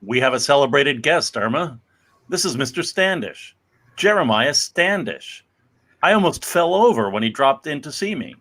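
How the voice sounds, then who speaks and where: A man playing an earnest, grateful middle-aged shopkeeper and father in a measured dramatic reading of a romance comic. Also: mid-range voice, sing-song, a bit bright.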